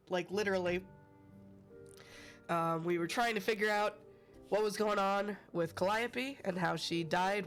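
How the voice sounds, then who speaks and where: A man's voice: high voice, fairly bright tone. A man with a cold and a stuffy voice, hosting a casual live stream and chatting with viewers by name. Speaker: livestream host.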